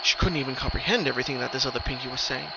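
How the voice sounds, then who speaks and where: Man narrating dramatically over clear background noise. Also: rapid-fire, muffled recording. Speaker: narrator of horror fan fiction. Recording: noisy recording, rumbling ambient background.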